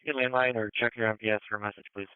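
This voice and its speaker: A man's voice: fairly low pitch, flat delivery, rapid-fire, clear, resonant tone, no room sound. A man dispatching emergency calls in clipped phrases over a two-way radio. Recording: tinny narrowband scanner radio.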